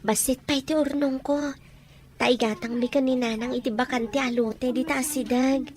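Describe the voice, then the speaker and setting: A woman's voice: sits high, fairly even intonation, normal speed, natural voice. A woman with an Ilocano accent playing a cheerful, hardworking masseuse in an audio drama, easygoing and modest. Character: cheerful, hardworking young masseuse saving her earnings.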